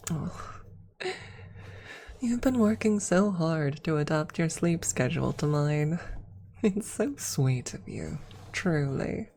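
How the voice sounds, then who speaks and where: A woman playing an affectionate, teasing female vampire lover in an intimate ASMR roleplay, speaking softly and sliding into whispers, with a husky, breathy voice. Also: deep voice, a little hiss or hum, full character voice. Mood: tender, teasing. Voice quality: soft, breathy, husky with creak. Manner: slow, tender, lightly teasing.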